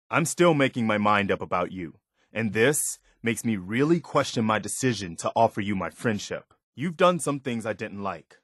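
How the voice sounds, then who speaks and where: A man's voice: on the low side, fairly bright tone, no echo, studio quality. A man playing an earnest, principled militia soldier companion in a video game, loyal and battle-weary, speaking direct military phrasing in scripted dialogue. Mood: hurt, disappointed.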